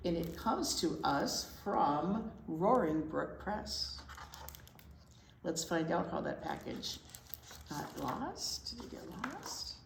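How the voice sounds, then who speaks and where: A woman reading a picture book aloud to young children as a storytime teacher, expressive and emphatic, explaining things simply. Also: lowish voice, takes it slowly, gravelly. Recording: roomy echo with faint noise.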